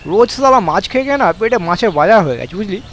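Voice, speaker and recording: A man's voice: high voice, lively intonation, rapid-fire, a bit bright, a little hiss or hum. A man voicing a young male water snake in a comic cartoon, cheeky and easygoing, chatting in teasing slang. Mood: chatty. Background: music.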